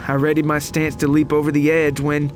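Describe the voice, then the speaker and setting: A man's voice: fairly high pitch, completely flat delivery, moderate pace, soft background music. A man narrating a scripted first-person horror story in a dramatic, solemn, suspenseful manner.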